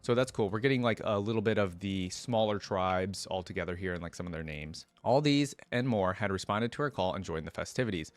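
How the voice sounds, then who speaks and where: A man with a fairly low voice, recorded close and dry. He reads fantasy lore aloud as a reaction host and adds casual, conversational commentary of his own. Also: a little fast, hardly any pauses, no echo.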